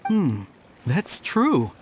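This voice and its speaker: A performer voicing a frightened, earnest young male retainer in a video game, with halting, urgent delivery. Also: medium pitch, wildly swooping pitch, a little mellow, slight background noise, full character voice. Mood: surprised, subdued. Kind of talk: English video game voice acting.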